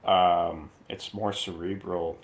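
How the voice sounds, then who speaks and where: A man's voice: sits low, level tone, takes it slowly. A man chatting casually as a panelist in a movie review discussion.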